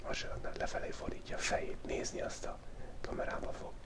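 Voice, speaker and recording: A man from Hungary with a cold, whispering playful ASMR commentary as he sketches, through a small mic taped right to his face. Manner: playful, joking asides while sketching. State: has a cold. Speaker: YouTube drawing channel host. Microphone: small mic taped to his face, very close.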